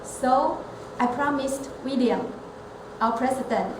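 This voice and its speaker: A woman speaks high and unhurried, setting out each phrase deliberately. Her earnest prepared speech carries some self-corrections. It was recorded in an echoey room with steady noise behind her. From Taiwan. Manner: measured, earnest, with occasional restarts. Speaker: elementary school teacher and club member.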